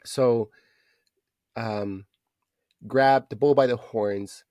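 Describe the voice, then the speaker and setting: A man's voice: medium pitch, steady tone, very slow, darkish tone, no echo. Casual man in his thirties, dry close sound. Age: 30s. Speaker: podcast co-host. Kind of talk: casual chat.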